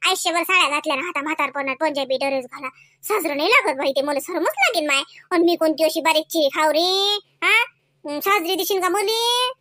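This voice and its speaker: Very squeaky, coy village mother. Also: brisk, pushed and bright, dry recording. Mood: reluctant, embarrassed. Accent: Varhadi Marathi from Vidarbha. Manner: coy, scolding, exclamatory. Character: bashful, fussing middle-aged village mother and wife. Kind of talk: comedy cartoon dialogue.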